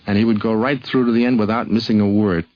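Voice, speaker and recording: A man's voice: lowish voice, steady tone, fast, no room sound. A man sharing anecdotal memories as an interviewee in an old radio documentary. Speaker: interviewee recalling a friend. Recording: muffled 1950s radio recording.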